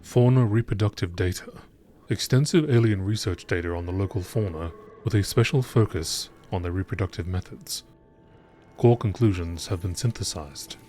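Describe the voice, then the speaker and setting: A man's voice: deep voice, moderate pace, dark tone. A man reading scripted narration in the style of a nature documentary. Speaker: narrator reading game lore entries. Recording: clearly noisy background ambience.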